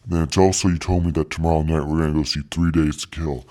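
A man playing a laid-back, boastful voice-over guy with a thick deep voice and slangy drawl, in a comic podcast interview. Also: flat delivery, fast, darkish tone. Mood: cool, boastful. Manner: drawling slangy swagger, punctuated with "dog". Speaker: podcast guest and voice-over artist.

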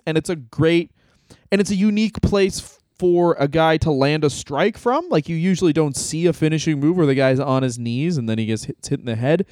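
A man's voice: fairly high pitch, a little mellow, no room sound, studio quality. A man co-hosting a comedy podcast, talking casually in a rambling way, full of "like.". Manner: rambling, heavy use of "like". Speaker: podcast co-host.

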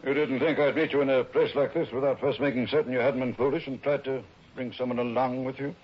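An actor playing a smug, sly blackmailer in a mannered, mock-polite style of 1960s radio drama. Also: male voice, medium pitch, steady tone, fast talker, close to an everyday voice. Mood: smug, menacing. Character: smug, sly male blackmailer, sneering then pleading. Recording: muffled, hissy vintage radio recording.

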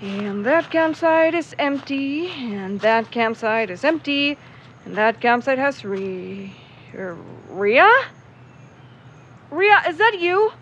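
A woman's voice: sits high, expressive, slow pace, fairly bright tone, highs cut off, slight background noise, full character voice. A woman playing a playful, awkward, slightly cocky youthful friend in a naturalistic audio drama.

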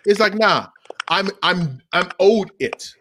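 A man's voice: sits high, animated, slow pace. A man co-hosting a podcast, explaining his views earnestly in a casual discussion. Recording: noisy background with clicks.

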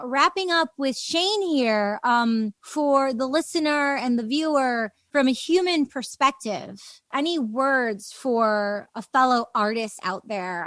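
A woman's voice: fairly high pitch, a bit bright, no echo. A woman hosting an interview podcast in casual chat, talking in an enthusiastic, gushing, rambling way. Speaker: podcast host interviewing a guest.

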